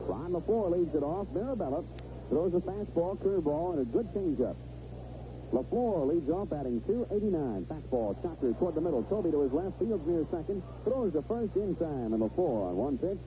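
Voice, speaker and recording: A man's voice: on the high side, big pitch swings, moderate pace. An American man calling baseball play-by-play on the radio, mixing clipped phrases with conversational asides. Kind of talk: live radio play-by-play commentary. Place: broadcast position close to the field at a ballpark. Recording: vintage 1970s radio broadcast, muffled and noisy.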